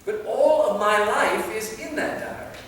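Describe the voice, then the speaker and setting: A man's voice: high voice, a little slow, a bit bright. A man acting an anxious, earnest, centuries-old vampire knight in a live staged play reading. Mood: earnest, pleading. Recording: echoey hall from far off, faint noise.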